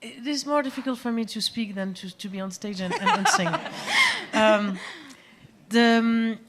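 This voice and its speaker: A woman, a musician guest talking about her music in a live on-stage interview, pausing often to search for words. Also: fairly high pitch, sing-song, very noisy. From Algeria, raised in France. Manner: hesitant, searching for words, many fillers. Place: small music venue stage.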